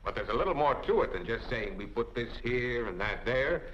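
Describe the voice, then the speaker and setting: A man's voice: medium pitch, expressive, fairly quick, a bit bright. An American man delivers scripted instructional narration as a training film narrator, explaining methodically step by step in a didactic way. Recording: dull 1940s film soundtrack.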